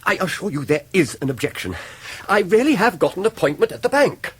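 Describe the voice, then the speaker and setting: Fussy lawyer, evasive, apologetic, fairly high pitch, husky, period radio drama. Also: male voice, very animated, fairly quick, fairly bright tone, exaggerated voice. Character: evasive, apologetic, fussy senior law partner.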